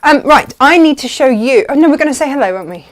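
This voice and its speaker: A woman's voice: high-pitched, animated, fast. A woman hosting a live craft show in casual chat, chatty and jokey, easily sidetracked.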